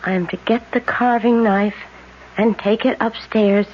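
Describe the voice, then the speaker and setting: A hypnotized young woman voiced by an actress who speaks in a flat, entranced murmur and echoes each instruction back. The recording is dull and lo-fi, like an old radio broadcast with a faint hiss. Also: medium pitch, measured pace, lightly acted. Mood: dazed, entranced. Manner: flat, dazed, repeats words back. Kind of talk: 1970s radio drama acting.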